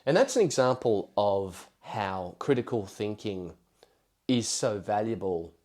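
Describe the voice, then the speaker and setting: Low-pitched Australian man, slightly croaky. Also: animated, a little slow, a little mellow. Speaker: podcast host. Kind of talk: solo podcast talk with prepared storytelling.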